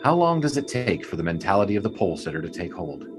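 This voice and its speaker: An actor playing a curious newspaper sportswriter who asks questions in a slightly awkward way. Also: male voice, low voice, big pitch swings, fast talker. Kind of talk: audio drama acting. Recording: music under the voice.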